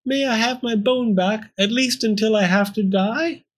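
A man's voice: high voice, moderate pace, slightly rough, voice pushed up. A man voicing a sweet, timid young girl in a storybook, polite and pleading in a whimpering manner. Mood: frightened, pleading.

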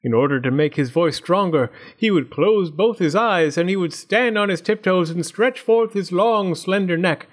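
A storybook fox that is all sly charm, sweet-talking and full of flattery, as a man reading aloud puts him on. The voice sits noticeably higher than the reader's own. It keeps coaxing and wheedling from line to line. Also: normal speed, hardly any pauses. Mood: flattering.

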